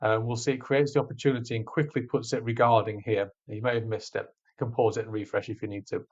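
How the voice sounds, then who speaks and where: Male tutorial presenter, medium pitch, conversational step-by-step instruction, somewhat lo-fi sound. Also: flat delivery, fast, a little mellow. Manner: informal, explains step by step. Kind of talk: screencast walkthrough, conversational instruction. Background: voice-over on a screen recording.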